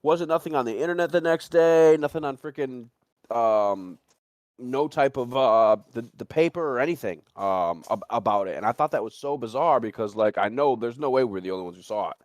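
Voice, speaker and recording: A man's voice: medium pitch, animated, fairly quick, no room sound. A man chatting casually and speculating in a rambling, repetitive way, with some swearing. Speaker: livestream talk participant. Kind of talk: casual chat, animated storytelling and speculation.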